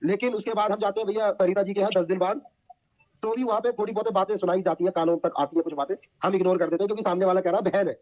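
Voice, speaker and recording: A man's voice: sits high, steady tone, racing, darkish tone. A man on a dull recorded call, telling gossip informally in an emphatic, colloquial way.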